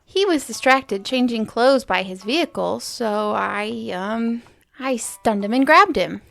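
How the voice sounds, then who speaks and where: A woman's voice: on the high side, lively intonation, unhurried, vocal fry, fairly bright tone, exaggerated voice. A woman playing a flustered, defensive young university researcher who rambles nervously in a sci-fi comedy audio drama. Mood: sheepish, nervous.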